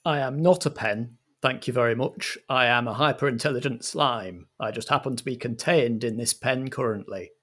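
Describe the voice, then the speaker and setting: A haughty, hyper-intelligent slime, acted out by a man in a comic audio drama. It speaks at a middling pitch with pompous condescension, as if all around it were lesser beings. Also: moderate pace, few pauses, fairly bright tone, lightly acted.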